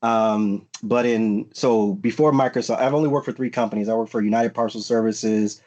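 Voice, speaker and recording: Low-voiced man giving career advice. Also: steady tone, moderate pace, a little mellow, dull audio. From United States. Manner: frequent fillers and restarts, earnest advice-giving. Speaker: panelist at a recruiting event. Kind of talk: virtual panel talk.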